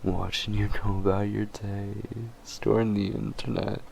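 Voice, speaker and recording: A man's voice: lowish voice, little pitch movement, creaky, darkish tone, a little hiss or hum. A man voicing a possessive, condescending vampire in a dramatic audio roleplay, with a smug, teasing manner. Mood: amused, nostalgic. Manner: slow, teasing, smug, often chuckling. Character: possessive, condescending male vampire, obsessed and menacing.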